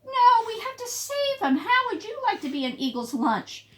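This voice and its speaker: A woman's voice: extremely high pitch, big pitch swings, moderate pace, a bit bright, far from the mic, pitched above the performer's own voice, full character voice. A woman voicing a small, anxious male prairie dog in a children's storybook, pleading and exclaiming urgently.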